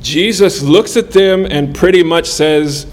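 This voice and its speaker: A man in his forties delivers a sermon at an unhurried pace. His voice sits slightly high for a man, and he speaks earnestly, pausing between phrases to let each point land. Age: 40s. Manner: earnest, measured delivery with pauses. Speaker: preacher.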